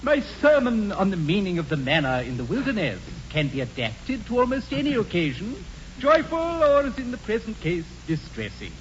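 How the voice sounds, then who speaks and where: An actor playing a fussy, pompous English country clergyman in a 1940s radio comedy, with an unctuous, drawn-out delivery. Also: high voice, huge pitch swings. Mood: fussy, obliging. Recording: dull, noisy 1940s radio broadcast.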